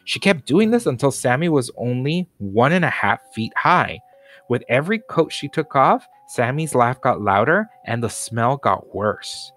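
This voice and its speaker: A man's voice: mid-range voice, big pitch swings, measured pace, a bit bright, no room sound. A man narrating a children's storybook aloud as a scripted reading. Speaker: storybook reader.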